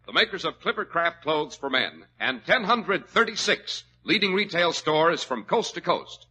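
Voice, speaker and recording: A man announcing and reading sponsor copy on a 1940s radio show, brisk and salesy. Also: fairly high pitch, big pitch swings, sharp tone, slightly rough. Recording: old radio broadcast recording.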